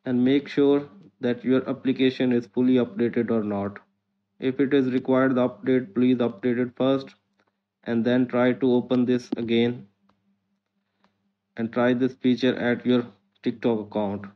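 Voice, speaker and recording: A man narrates a scripted how-to guide as the host of a tutorial channel. His mid-pitched voice stays very even, with little rise or fall from one instruction to the next. The recording is somewhat dull and lo-fi. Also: a little slow. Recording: muffled, dull lo-fi audio.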